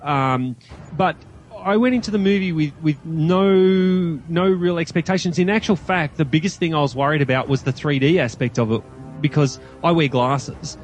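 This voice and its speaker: A man's voice: on the high side, normal speed, faint music behind. A man with an Australian accent giving his views as a guest in a casual film review chat. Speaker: podcast guest.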